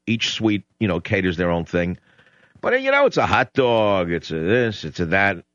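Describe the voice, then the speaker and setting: Male radio host, lowish voice, rambling and sardonic, dry studio on a dull lo-fi broadcast. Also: very animated, normal speed, fairly bright tone, dry recording. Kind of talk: opinionated radio monologue and call-in chat.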